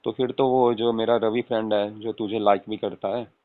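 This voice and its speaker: A man's voice: fairly low pitch, little pitch movement, moderate pace, lightly acted. A man playing an affectionate young husband on a casual late-night call, coaxing and often asking for agreement. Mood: coaxing, persuasive. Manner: coaxing, repeatedly seeking agreement with tag questions. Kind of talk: acted casual phone conversation with spouse. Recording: tinny phone-call audio with room echo.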